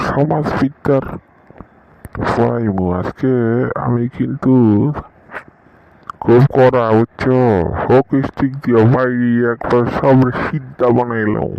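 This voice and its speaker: A man playing a strict, scolding Sylheti schoolmaster in a comic cartoon, with a husky, gravelly voice and a Sylheti accent. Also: medium pitch, darkish tone, slight background noise. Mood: stern. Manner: scolding, questioning. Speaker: teacher. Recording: dull, lo-fi sound.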